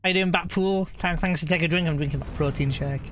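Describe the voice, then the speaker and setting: Guy streamer chatting casually over a game, slightly higher voice, bit of music behind. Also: fast. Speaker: streamer reacting to game cutscenes. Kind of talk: casual live commentary.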